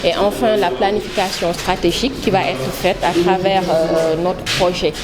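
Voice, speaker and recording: A woman with a Senegalese accent, a project manager answering an interview, speaking haltingly with many pauses and switching between French and Wolof. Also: fairly low pitch, fairly even intonation, loud music behind the voice. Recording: loud background noise.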